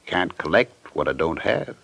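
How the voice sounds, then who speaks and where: A slick, cocky debtor from an old radio detective show. His gravelly voice swings widely in pitch and sits close and dry. He stalls smugly, entirely unbothered. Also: lively intonation, measured pace, fairly bright tone, no room sound, full character voice. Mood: smug, indignant. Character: slick, evasive man dodging his creditors, cocky and unbothered. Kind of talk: old-time radio detective drama acting.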